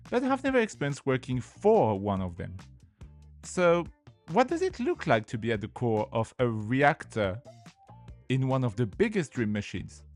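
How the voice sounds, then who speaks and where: French-accented male podcast host, playful scripted intro, light music bed. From France. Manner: playful, lively delivery with sudden pitch jumps.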